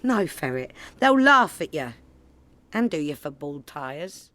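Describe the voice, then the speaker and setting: An actress playing a down-to-earth, mocking wife with a London accent, teasing and sarcastic in a comedy radio play. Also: female voice, sits low, sing-song, unhurried, fairly bright tone, full character voice. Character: mocking, down-to-earth wife of a hopeless petty crook.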